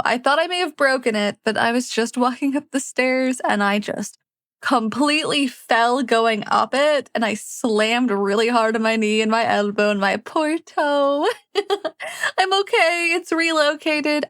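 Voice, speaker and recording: A woman's voice: fairly high pitch, a bit bright, no echo. A woman storyteller and voice actor who narrates fantasy and chats to her listeners, recorded close up. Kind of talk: scripted fantasy narration and casual chat to listeners.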